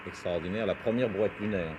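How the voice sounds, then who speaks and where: Low-voiced newsman on noisy vintage broadcast. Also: brisk, dull audio. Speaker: TV news presenter. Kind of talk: news presentation and commentary over footage. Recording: noisy 1971 French TV newsreel.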